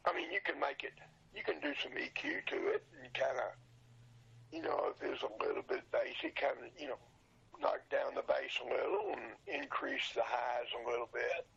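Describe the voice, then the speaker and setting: An older man, past sixty, with a gravelly, husky voice, talking casually and plainly as an interview guest, explaining things informally. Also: medium pitch, huge pitch swings, moderate pace, fairly bright tone. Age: 60s or older. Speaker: interview guest, old radio show collector and seller. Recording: dull lo-fi sound.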